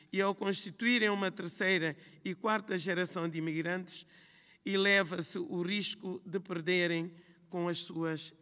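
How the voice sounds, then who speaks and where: A woman from Guinea-Bissau with a Guinea-Bissau Portuguese accent, a writer reading a measured, reflective prepared speech on a festival panel. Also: lowish voice, fairly bright tone. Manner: measured, reflective, listing phrases in rhythm. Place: large hall at a literary festival. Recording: long hall reverb.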